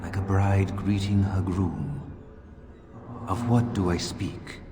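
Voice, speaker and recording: Grave male spirit for a fantasy game, poetic, unhurried, in a noisy hall with long reverb. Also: deep voice, steady tone. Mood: solemn. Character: solemn male riddle-telling spirit.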